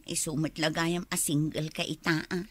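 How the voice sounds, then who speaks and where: A woman's voice: sits low, little pitch movement, moderate pace, slightly rough, no room sound. A woman acting in an Ilocano radio drama as a hurt, suspicious wife and mother, with an Ilocano Filipino accent. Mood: downcast, confiding.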